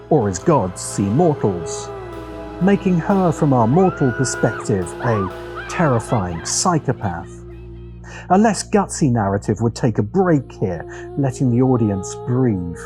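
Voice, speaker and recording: A man delivers scripted commentary in the manner of a video essay host. His pitch moves around a great deal as he sets phrases apart with deliberate, dramatic pauses. Music plays clearly behind him. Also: very animated. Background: background music.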